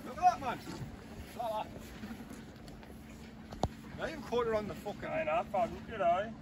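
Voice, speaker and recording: A man's voice: high-pitched, big pitch swings, normal speed. A man bantering casually outdoors with fishing mates, some way from the phone. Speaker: fishing companion. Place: outdoors on a riverside jetty. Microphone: phone held by someone else, at a distance. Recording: windy outdoor recording, distant from mic.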